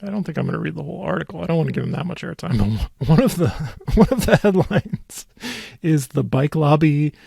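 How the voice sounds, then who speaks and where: A man hosting a podcast in casual chat, teasing and mock-serious with dry sarcasm and a gravelly, husky voice. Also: fairly high pitch, animated, fairly quick, a little mellow, dry recording. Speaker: podcast host. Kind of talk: casual chat about local politics.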